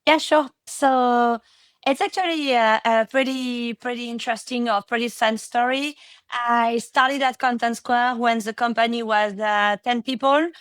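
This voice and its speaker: A woman's voice: a little high, steady tone, measured pace, a bit bright, clear, resonant tone, no echo. A woman in her thirties with a French accent, talking casually as a podcast guest, with many fillers and restarts. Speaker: podcast guest, product executive. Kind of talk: casual interview talk.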